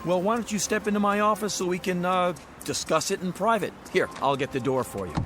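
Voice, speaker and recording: Slangy used-car salesman, higher voice. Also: fairly quick, fairly bright tone, faint music behind. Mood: eager, showy. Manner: plain-spoken, slangy, salesman's patter. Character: used-car lot owner, eager salesman forced into honesty. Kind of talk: audio drama acting.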